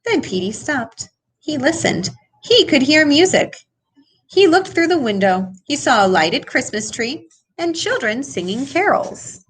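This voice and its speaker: A woman reading a children's picture book aloud in an expressive way, with dramatic emphasis. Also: high-pitched, a little slow, slight background noise. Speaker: storybook reader. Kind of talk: animated children's read-aloud.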